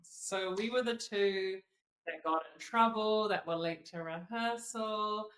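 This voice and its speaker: A woman's voice: mid-range voice, slow, fairly bright tone. Giggly New Zealand woman, roomy echo. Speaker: guest, opera singer and model. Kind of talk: casual interview chat.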